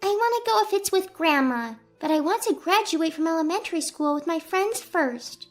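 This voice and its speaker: Woman voicing a high, eager young schoolgirl. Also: lively intonation, moderate pace, soft background music. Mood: earnest.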